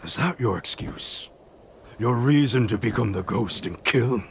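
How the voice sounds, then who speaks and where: A man's voice: mid-range voice, lively intonation, unhurried, creaky, slight background noise. A man playing a defiant, taunting warlord villain in a video game, sneering as he accuses. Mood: taunting accusation. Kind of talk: dramatic video game acting.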